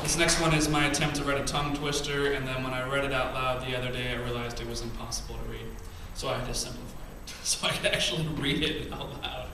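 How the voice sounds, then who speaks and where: A man in his thirties reading his poems on a small cafe stage, emphatic and rhythmic, with quiet asides between poems. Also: mid-range voice, steady tone, fast, hardly any pauses, noisy background. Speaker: spoken word poet. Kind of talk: spoken word poetry reading. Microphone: stand mic, far camera. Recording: echoey venue, camera from far off.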